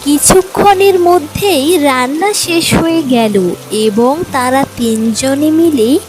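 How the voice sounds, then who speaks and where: A woman's voice: sits high, takes it slowly, a little mellow, slight background noise, rough recording. A woman reading scripted narration for a children's fairy tale as its storyteller.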